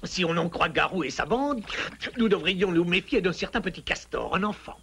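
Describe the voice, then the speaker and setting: Cartoon henchman: calculating royal minister, high-pitched, sharp and creaky, fawning. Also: lively intonation, moderate pace, slight background noise, exaggerated voice. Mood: sly, confiding. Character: scheming, mean chief minister to lion tyrant. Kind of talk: French cartoon dub acting.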